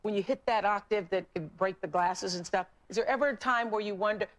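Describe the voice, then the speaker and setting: A woman's voice: mid-range voice, a little fast. A woman asking casual interview questions.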